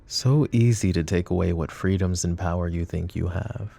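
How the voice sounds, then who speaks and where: A man playing a calm, menacing, flirty mafia boss in an intimate ASMR roleplay, speaking deliberately in a gravelly murmur. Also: deep voice, soft background music. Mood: brooding, commanding. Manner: deliberate, coaxing, philosophizing.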